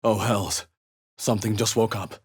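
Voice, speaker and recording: A man playing a watchful, wry adventurer hero in a fantasy video game, musing in measured asides. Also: on the low side, flat delivery, slightly rough. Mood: wary, observant. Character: adventurer hero, male, watchful, wry, muttering observations while exploring. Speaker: player character in a fantasy role-playing game.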